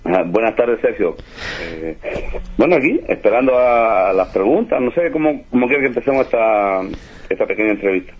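A middle-aged or older man with a Chilean accent and a husky voice, answering haltingly as a radio interview guest over a dull, lo-fi line. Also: medium pitch, animated. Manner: hesitant, halting.

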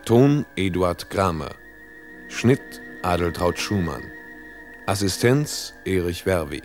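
A man announcing the closing credits of a radio drama, slow and formal. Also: sits low, expressive, soft background music.

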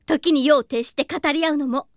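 High-pitched, haughty rich girl. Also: fairly even intonation, moderate pace, no echo, studio quality. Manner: imperious, refined ladylike diction. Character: proud, haughty rich teenage girl, would-be idol. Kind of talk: Japanese anime audio drama acting.

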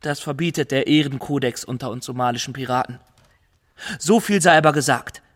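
An actor playing an earnest Somali pirate in a radio drama, giving a formal, careful account that rises into anguish. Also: male voice, medium pitch, a little fast, close to an everyday voice. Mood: earnest, explaining.

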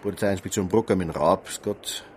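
An actor playing a businesslike Bavarian police dispatcher, brisk, insistent and colloquial, in a naturalistic radio drama. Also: male voice, low-pitched, close to an everyday voice. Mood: brisk, questioning. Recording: noisy station with phone clicks.

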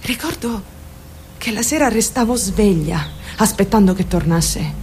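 A woman's voice: mid-range voice, lively intonation, unhurried, a little mellow, slight background noise, close to an everyday voice. A woman acting a theatrical radio monologue as a troubled young woman, defensive and candid, rambling and addressing her listeners directly. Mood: wistful, self-deprecating. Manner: confiding, addresses listeners directly with rhetorical questions. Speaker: actor.

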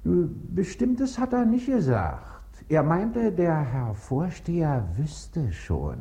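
An actor playing a meek, hesitant, deferential office clerk in a radio play. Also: male voice, a little high, wildly swooping pitch, takes it slowly, warm tone, full character voice. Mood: timid, dutiful.

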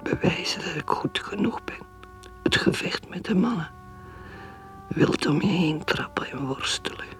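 Bitter career mother, slow, swinging delivery. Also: lowish voice, expressive, faint music behind, rough recording. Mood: whispered brooding. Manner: intense, dramatic, swinging between speech and whispered brooding. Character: bitter, ambitious middle-aged career mother who drinks. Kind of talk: radio drama acting, dialogue and inner monologue.

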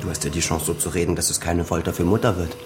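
A middle-aged man played by an actor speaks in a low register. He is cynical and accusing, a wounded grown son in a naturalistic radio play, biting and sarcastic with his father. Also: flat delivery, quick pace, over music, lightly acted. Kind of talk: naturalistic German radio drama acting.

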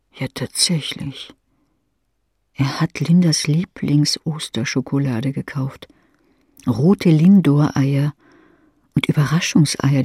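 Female audiobook narrator, husky voice, dramatic literary read, very dry recording. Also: a bass voice, mellow, dry recording. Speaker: audiobook reader. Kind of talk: scripted audiobook narration in second person.

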